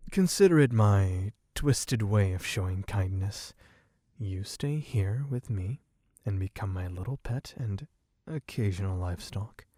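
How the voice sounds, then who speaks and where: A man voicing a suave, possessive, bloodthirsty vampire in a low, husky, teasing voice for an ASMR roleplay. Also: dark tone, no echo, clean studio sound, full character voice. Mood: possessive, coaxing. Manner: slow, teasing, theatrically genteel, purring endearments.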